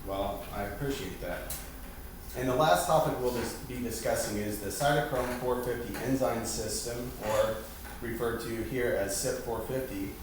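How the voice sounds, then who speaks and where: A man reads out a formal lesson as an instructor. He sounds distant from the microphone in a heavily reverberant room, with clear noise behind him. His delivery is scripted, with small stumbles on technical words. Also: medium pitch. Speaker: instructor leading a staged class. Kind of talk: scripted lesson presentation, reading aloud. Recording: very echoey room, far mic, loud background hiss.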